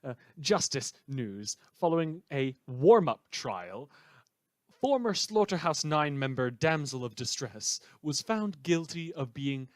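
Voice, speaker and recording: A man playing a rambling, conflicted late-night radio host in a post-apocalyptic audio drama, with a wry, halting, self-correcting manner. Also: on the high side, expressive, no echo, studio quality. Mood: deadpan, fumbling.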